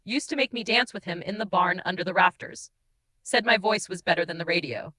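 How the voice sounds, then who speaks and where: A woman's voice: lowish voice, pushed and bright, low-quality recording. A woman voicing a guilt-ridden, tender shapeshifting changeling who confesses in mournful, dramatic first-person audiobook narration. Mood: fond. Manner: emotive, mournful storytelling with dramatic pauses.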